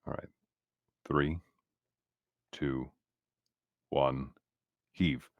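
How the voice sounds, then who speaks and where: Super deep voiced guy playing a tabletop rpg, close dry sound, casual in character talk. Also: steady tone, extremely slow, dry recording, phone-quality sound. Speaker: roleplaying game player.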